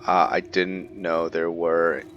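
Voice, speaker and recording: A man playing a roleplay game who reads in-game journals aloud haltingly and keeps correcting himself. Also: deep voice, little pitch movement, dull audio, slight background noise. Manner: halting reading with frequent self-corrections. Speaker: gamer playing and narrating.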